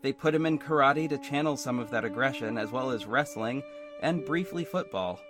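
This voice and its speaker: A man's voice: mid-range voice, lively intonation, moderate pace, close to an everyday voice. A man plays a young superhero narrating an audio drama, confiding and a little sardonic. He broods frankly over his own story, with music underneath. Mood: brooding, reflective. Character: young adult male superhero narrator, hurt, introspective, sardonic. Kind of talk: first-person audio drama narration with dialogue. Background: instrumental music.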